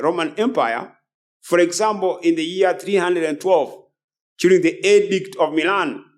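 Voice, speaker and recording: A male preacher with a high-pitched voice delivers a sermon. His manner is solemn and declamatory. He leans on repeated phrases and formal, quoted wording. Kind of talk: sermon with read-out quotations.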